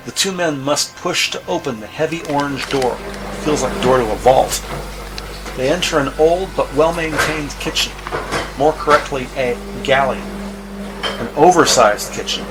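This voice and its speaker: A man reading scripted audio drama narration in a measured, descriptive storytelling manner. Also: mid-range voice, rough recording. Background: music.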